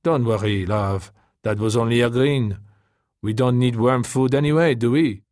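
Narrator as a soft, patient, ingratiating man. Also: medium pitch, normal speed, dry recording, clean studio sound, close to an everyday voice. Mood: soothing. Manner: patient, coaxing, wistful.

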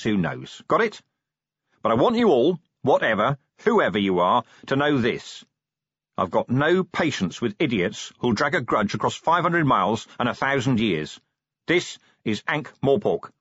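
A man's voice: mid-range voice, sing-song, moderate pace, fairly bright tone, slightly rough, dry recording, lo-fi sound, pitched above the performer's own voice. A man playing a weary, sardonic police commander in an audiobook, plain-spoken and commanding. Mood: rousing, firm.

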